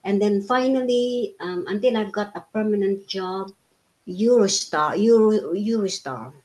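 A woman's voice: medium pitch, a little slow, a little mellow. A woman in her fifties from the Philippines, a native Tagalog speaker, recounting her life in a casual interview with many fillers and restarts. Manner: chatty with frequent fillers and restarts.

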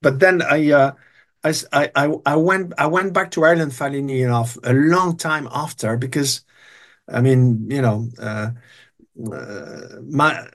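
A man's voice: medium pitch, unhurried. An older man, a guest telling long stories in an interview, hesitant with many restarts. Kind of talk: storytelling interview.

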